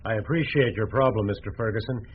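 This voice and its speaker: An actor playing a polite, skeptical city businessman in a radio drama, speaking in a courteous, measured, dry way. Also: lowish voice, highs cut off, slight background noise, close to an everyday voice.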